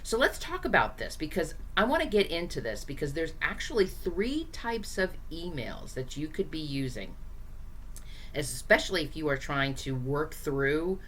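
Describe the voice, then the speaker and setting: A hoarse woman coaching small business owners in a casual, chatty teaching style, speaking directly to her viewers with frequent fillers. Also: low voice, sing-song, normal speed, a bit bright, a little hiss or hum.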